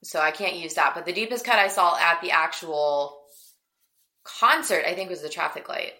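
A woman's voice: on the low side, moderate pace, bright, forceful tone. A woman hosting a casual podcast chat, keeping the conversation on track and moving to the next topic. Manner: organizes the talk, steers to the next topic. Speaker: podcast host.

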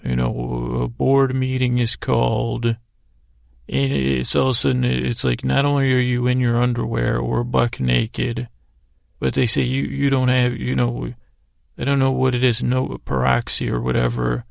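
Man, heavy vocal fry, gravelly, halting and meandering delivery, repeated words, bedtime podcast monologue, dry close recording. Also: mid-range voice, monotone, dry recording. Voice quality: gravelly, creaky. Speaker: podcast host. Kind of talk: rambling bedtime sleep-story monologue.

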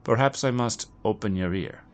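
A man's voice: lowish voice, moderate pace, voice pushed up, lightly acted. A man voicing a sinister, formally polite stranger who offers devilish bargains in a story reading. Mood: coolly menacing. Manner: formal, smooth-talking, taunting. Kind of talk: audiobook character acting.

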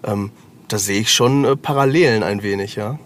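A man's voice: fairly low pitch, moderate pace, a little hiss or hum. A man speaking as an author guest in casual, reflective conversation, searching a little for his words.

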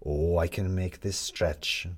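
A man voicing a brisk, blunt and wry female newspaper reporter in an audiobook. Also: low-pitched, steady tone. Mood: insistent.

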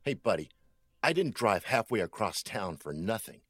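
An actor playing a thuggish, menacing drug dealer in an audio drama, with rough, slangy, threatening speech and a husky voice. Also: male voice, on the low side, sing-song, normal speed, fairly bright tone, no echo, heavily acted.

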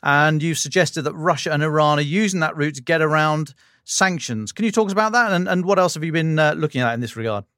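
A British man hosting a podcast interview, asking questions in an informal, conversational way with halting phrasing. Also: fairly high pitch, fairly bright tone, no room sound, clean studio sound.

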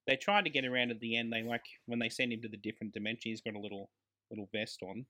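A man in a casual film review chat, talking as a podcast co-host. He rants like an exasperated fan and throws in slang and swear words. His voice sits at an ordinary middle pitch. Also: fairly even intonation, racing, bright, forceful tone.

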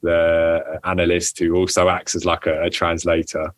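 A man's voice: low voice, completely flat delivery, moderate pace, dry recording, clean studio sound. A young man answering interview questions casually, with many restarts and ums. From England. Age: young adult. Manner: hesitant, many restarts and ums, laughs often. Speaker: footballer guest.

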